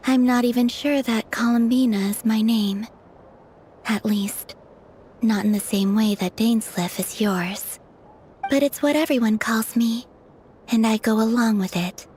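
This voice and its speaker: A woman voicing a gentle, pensive young woman in a video game, speaking softly in a measured, candid way. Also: a little high, slight background noise, natural voice. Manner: soft-spoken, reflective, measured.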